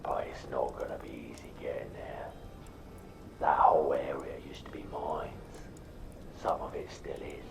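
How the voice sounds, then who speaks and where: An actor playing a sly underhive guide in a game cutscene, with a hushed, breathy, teasing delivery. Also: male voice, extremely low pitch, fairly even intonation, measured pace, reverberant, in a whisper, distant mic, heavily acted. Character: sly whispery underhive guide and informant, adult man. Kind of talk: video game cutscene acting.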